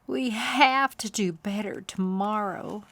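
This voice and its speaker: A woman's voice: mid-range voice, slow pace, fairly bright tone. A woman voicing an earnest, worried young girl in a children's storybook.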